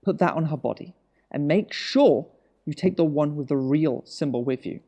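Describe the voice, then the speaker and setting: A man playing a controlled, ruthless government minister in an audio drama, with a measured, coaxing way of talking. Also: fairly high pitch, mellow, highs cut off. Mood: cold resolve. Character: calm, ruthless male government minister hiding a conspiracy.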